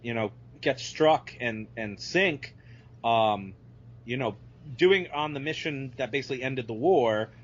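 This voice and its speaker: A man's voice: mid-range voice, lively intonation, unhurried. A man chatting casually about films as a podcast guest, thoughtful and hesitant. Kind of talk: casual film discussion. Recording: slightly muffled audio.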